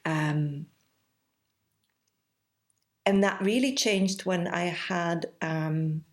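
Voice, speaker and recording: A woman in her forties with a Belgian accent, a podcast guest speaking reflectively and pausing often. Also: fairly low pitch. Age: 40s. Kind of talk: casual interview conversation.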